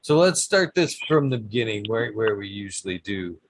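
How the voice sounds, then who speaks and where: A man's voice: lowish voice, very animated, moderate pace, a little hiss or hum. A man hosting a casual interview over a video call, friendly and apologetic, calling his guest "my friend.". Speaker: host.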